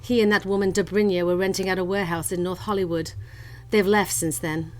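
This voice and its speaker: A woman's voice: on the low side, steady tone, brisk, natural voice. A woman playing a steely, vengeful gun-toting stranger in a campy noir radio play, commanding and melodramatic. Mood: bitter. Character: steely, vengeful woman holding a detective at gunpoint.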